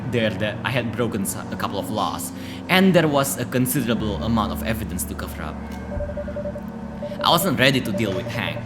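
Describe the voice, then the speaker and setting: A man narrating a visual novel aloud for a gaming video, stumbling now and then over the text. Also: lowish voice. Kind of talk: reading a visual novel aloud with casual asides. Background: loud music.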